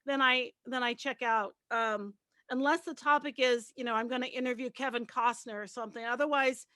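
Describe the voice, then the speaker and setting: Woman panelist w/ a pretty high voice, frank, explains stuff casually, no room echo. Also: fairly even intonation, a little fast, bright, forceful tone. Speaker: panelist, radio host and producer.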